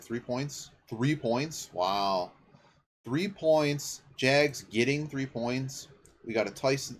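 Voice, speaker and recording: A man's voice: lowish voice, a little hiss or hum. A man hosting a sports podcast, giving casual off-the-cuff football commentary and thinking aloud in a rambling way. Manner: rambling, thinking aloud, checking facts mid-sentence.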